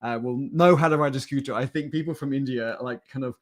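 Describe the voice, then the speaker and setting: A man's voice: medium pitch, fast talker. A man giving a conversational talk to a livestream audience in a jokey, rambling, informal way. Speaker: conference speaker.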